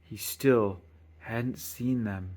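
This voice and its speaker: A man reading a rhyming children's picture book aloud in an expressive, sing-song storytelling manner. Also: on the low side, extremely slow. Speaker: storybook reader.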